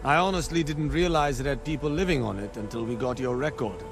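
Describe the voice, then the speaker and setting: An actor playing a relaxed, dry mission handler at a spaceport in a video game. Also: male voice, medium pitch, fast, faint music behind, lightly acted. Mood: dry, reassuring. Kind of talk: scripted video game dialogue.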